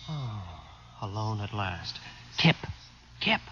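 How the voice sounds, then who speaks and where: A man's voice: very animated, slow. An actor playing a nervous, reluctant older man in a 1950s radio drama, flustered and hesitant. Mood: urgent. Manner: flustered, hesitant, breaks into shrill orders. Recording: muffled vintage radio recording with music.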